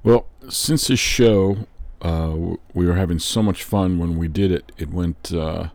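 A man with a very deep voice hosts a music radio show. He talks casually and informally, as if chatting to regular listeners. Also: unhurried, darkish tone. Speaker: host of an old-time music show. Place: record room.

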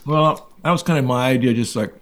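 Mid-pitched man reminiscing casually. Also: fairly quick, a bit bright. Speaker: musician guest reminiscing.

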